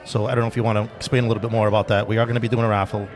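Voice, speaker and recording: Un hombre de unos cuarenta años que presenta un podcast en vivo, entusiasta, tartamudea y se ríe mucho. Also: male voice, fairly low pitch, racing. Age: 40s. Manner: excitable, stammering, laughs a lot. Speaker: podcast host. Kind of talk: casual live podcast chat. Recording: live in a bar with a loud crowd.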